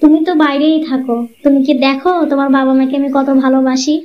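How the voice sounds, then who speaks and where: Woman voicing a high-pitched jealous young wife. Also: flat delivery, natural voice. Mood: defensive. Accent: Bangladeshi Bengali. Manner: complaining, quick retorts. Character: jealous scheming young wife, sharp-tongued. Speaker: cartoon voice actor. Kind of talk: Bangla cartoon dubbing. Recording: dull lo-fi sound.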